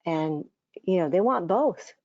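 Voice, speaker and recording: An older woman, around seventy, giving a conversational conference presentation as a dietitian, her voice scratchy and hoarse, with many fillers and restarts. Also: lowish voice, expressive, a little slow, a little mellow, no room sound, muffled recording.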